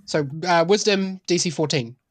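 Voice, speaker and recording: Tabletop game player, mid-range voice, careful and halting while reading out rules, very dry sound. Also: mid-range voice, no echo. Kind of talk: casual game talk, explaining rules.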